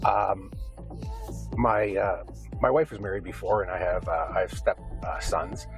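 A man's voice: low voice, a little slow, faint music behind, poor audio. A middle-aged man with a Canadian accent answering interview questions casually, in a rambling, hesitant way. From Windsor, Canada.

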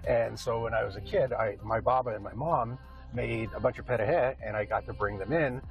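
A man's voice: medium pitch, normal speed, vocal fry, highs cut off, faint music behind. A middle-aged man with a Canadian accent, answering interview questions in an earnest, rambling conversational way.

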